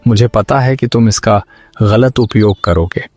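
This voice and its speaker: A man voicing a stern but compassionate hermit sage in an animated moral story. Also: on the low side, a little slow, no echo, natural voice. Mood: stern, wrathful. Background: music.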